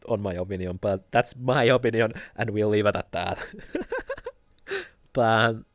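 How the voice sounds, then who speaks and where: A young man with a Finnish accent chatting casually on a live stream, soft-spoken and giggly, hesitating often. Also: fairly low pitch, huge pitch swings, slow pace, no room sound, clean studio sound. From Finland.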